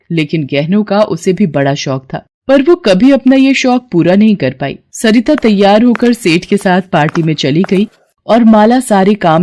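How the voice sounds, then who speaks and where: Low-pitched woman narrating a story. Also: warm tone. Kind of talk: scripted children's story narration.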